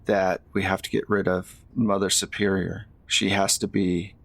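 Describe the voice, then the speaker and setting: A solemn young man from a fantasy tabletop game, played by a man. He speaks gravely and with measured care, as if laying out an old family tale. The voice has a creaky edge and sits close and dry. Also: deep voice, little pitch movement, a bit bright, dry recording, pitched below the performer's own voice, exaggerated voice. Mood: grave, resolute.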